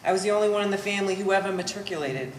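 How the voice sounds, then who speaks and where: A woman's voice: mid-range voice, rapid-fire, lightly acted. A woman playing a bitter, sardonic Polish-American daughter of sixty with pompous vocabulary, in a staged play reading. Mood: matter-of-fact. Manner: dry, pompous big words. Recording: echoey room, faint background noise.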